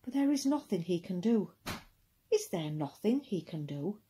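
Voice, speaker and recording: A woman giving an expressive recitation of poetry as a reader. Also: mid-range voice, moderate pace, slight background noise.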